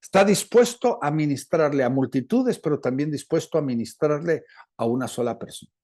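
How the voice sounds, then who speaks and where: A male preacher with a Latin American Spanish accent and a fairly high, mellow voice. He teaches in sermon style, speaking deliberately and circling back over phrases to stress them. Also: animated. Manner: deliberate, repeats phrases for emphasis. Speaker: preacher, apostle.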